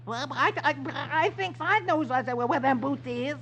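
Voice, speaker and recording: A man's voice: very high-pitched, lively intonation, moderate pace, gravelly, pushed and bright, voice pushed up, heavily acted. A man voicing a small, eager, simple lizard with a folksy stammer, in 1950s radio acting style. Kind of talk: 1950s radio funny-page character acting. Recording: muffled, noisy 1950s radio recording.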